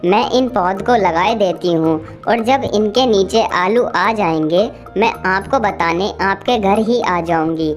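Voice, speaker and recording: A woman's voice: medium pitch, a little slow, few pauses, lightly acted. Woman acting eager little cartoon bird, music behind. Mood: busy, helpful. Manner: eager, urging, exclamatory. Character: cheerful, hardworking little female bird, caring sister. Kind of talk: animated children's story dialogue.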